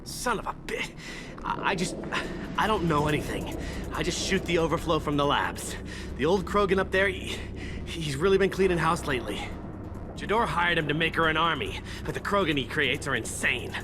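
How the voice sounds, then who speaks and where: An actor playing a wounded mercenary grunt in a video game, in pain, stammering and complaining bitterly. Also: male voice, fairly high pitch, animated, moderate pace, over music, natural voice. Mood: pained, panicky. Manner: sweary, stammering, whining complaints. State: in pain, bleeding. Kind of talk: video game cinematic acting.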